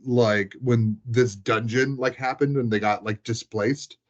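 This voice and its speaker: A man's voice: mid-range voice, fairly even intonation, measured pace. A man chatting casually as he recounts tabletop game sessions, rambling and peppering his talk with "like". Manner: rambling, frequent "like" as filler. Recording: dull, lo-fi sound.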